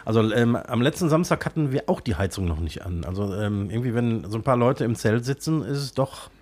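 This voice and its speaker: A man's voice: medium pitch, animated, brisk, darkish tone. A man co-hosting a podcast with a stuffy nose from a cold, chatting hesitantly with lots of filler sounds from a cold tent studio. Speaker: podcast co-host. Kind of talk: casual chat.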